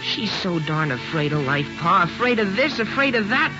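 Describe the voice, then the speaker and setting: A man's voice: high voice, very animated, normal speed, over music. An actor playing an earnest, dramatic sixteen-year-old American boy, impassioned and boyishly pompous, in old radio drama style. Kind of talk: 1950s radio drama acting. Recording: muffled, noisy old radio broadcast.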